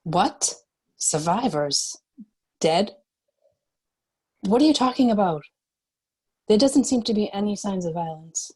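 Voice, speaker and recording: A woman's voice: medium pitch, animated, takes it slowly, pauses often, a little mellow, slightly rough, poor audio. A woman playing a stubborn, prickly bounty cop in a screenplay table read, terse and combative. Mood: alarmed, demanding.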